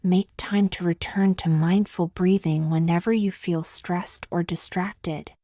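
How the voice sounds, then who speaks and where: A woman leading a scripted guided meditation in a soothing, gentle, measured way, with long pauses and soft, breathy phrase endings. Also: on the low side, steady tone, no echo, studio quality.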